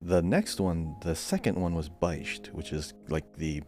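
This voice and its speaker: A man's voice: low-pitched, animated, measured pace, a little mellow, soft background music. A man hosting a podcast quiz, reading out questions and chatting casually.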